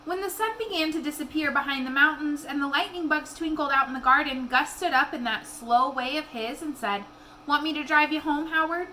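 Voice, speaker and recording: A woman reading a children's novel aloud in an expressive storytelling style. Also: sits high, brisk, hardly any pauses, a little hiss or hum, low-quality recording.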